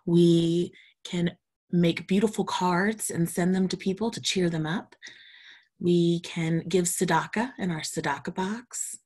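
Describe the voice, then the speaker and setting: A woman's voice: fairly low pitch, fairly even intonation, unhurried, a little mellow, slightly rough. A woman storytime reader, reading a children's storybook aloud expressively and talking to young children.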